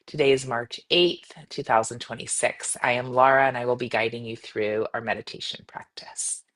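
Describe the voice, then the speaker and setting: Woman meditation guide: gentle, soothing delivery, measured phrasing, long pauses between instructions. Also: very deep voice, fairly even intonation. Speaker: yoga nidra meditation guide.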